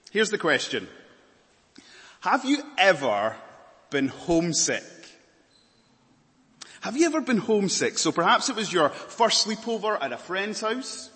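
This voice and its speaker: A Scottish man preaching a sermon, earnest, moving between emphatic lines and hushed, slower ones. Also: sits high, big pitch swings, in a large hall. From Scotland.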